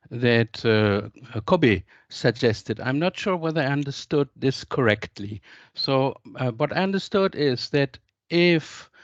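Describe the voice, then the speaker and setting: A man's voice: fairly high pitch, no room sound. A man posing a question in an academic discussion, tentative and often rephrasing himself, on dull lo-fi call audio. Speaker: audience questioner.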